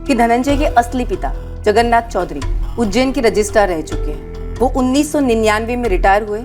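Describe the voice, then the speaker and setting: Composed female officer, played by an actress, crime drama. Measured, probing, matter-of-fact, light music bed. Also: on the high side, animated, darkish tone, lightly acted. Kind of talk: television crime drama dialogue. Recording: background music under dialogue.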